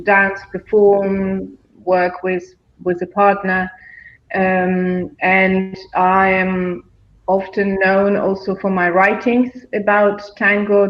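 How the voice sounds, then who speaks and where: A woman from Russia, a tango teacher introducing herself on a panel in a measured way, with fillers. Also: fairly low pitch. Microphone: video call. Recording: echoey, dull video call audio.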